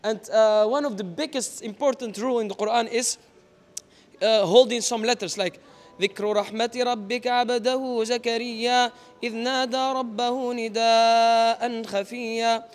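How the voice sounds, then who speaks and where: A man's voice: very high-pitched, steady tone, fairly quick. A man in his thirties hosting an on-camera street interview in a shopping mall, mixing in religious phrases. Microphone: small handheld wireless mic.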